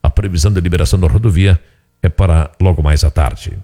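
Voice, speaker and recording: Deep-voiced newsreader, man. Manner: steady measured newsreading with occasional slips. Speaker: news presenter. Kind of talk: regional radio news reading.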